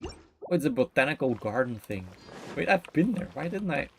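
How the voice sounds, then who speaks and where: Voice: medium pitch, big pitch swings, normal speed, creaky, a little mellow, a little hiss or hum. A game streamer giving casual live commentary, mumbling in a playful, slangy way.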